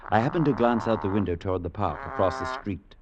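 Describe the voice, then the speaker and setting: An actor playing a gentle priest who narrates an old-time radio drama in a measured way. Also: male voice, on the low side, animated, a loud crowd, natural voice. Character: kindly male priest narrating. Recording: dull vintage recording with music.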